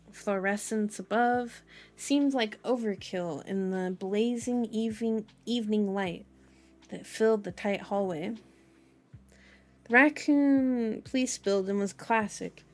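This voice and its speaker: A woman's voice: mid-range voice, darkish tone. A woman hosting an ASMR book reading, playful and chatty, mixing narration with casual asides. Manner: playful, chatty, strays from the text into comments. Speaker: host of an ASMR channel.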